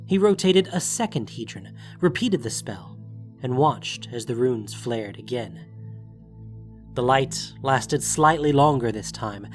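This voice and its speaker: Male audio drama narrator over music. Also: mid-range voice.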